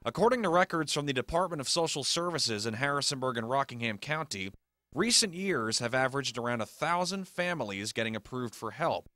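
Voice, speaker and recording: A man's voice: medium pitch, expressive, a little fast, sharp tone, no echo, studio quality. A man reading scripted narration for a television news package. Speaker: news reporter.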